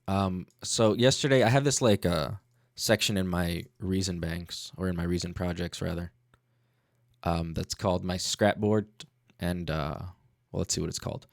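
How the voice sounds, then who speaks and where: Low-voiced laid-back man teaching on a livestream. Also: normal speed, vocal fry, dry recording, studio quality. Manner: laid-back, slangy, informal. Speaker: music producer, livestream host. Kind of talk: casual livestream tutorial. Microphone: studio microphone.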